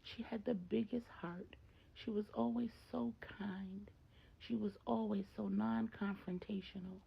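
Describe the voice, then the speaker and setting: A woman acting a distraught older mother, raised pitch. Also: fairly even intonation, a little slow, gravelly, husky, muffled recording, full character voice. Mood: tearful, tender.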